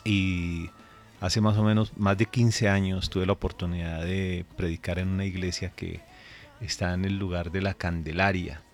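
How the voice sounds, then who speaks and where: A man's voice: low-pitched, little pitch movement, normal speed, faint music behind. A man who is a pastor, raised in Colombia, telling a story earnestly and measuredly in a radio interview.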